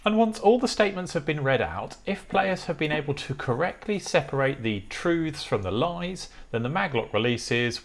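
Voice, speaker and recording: British man, tutorial presenter, methodical explanations, mid-pitched, animated intonation. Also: gravelly, a little hiss or hum.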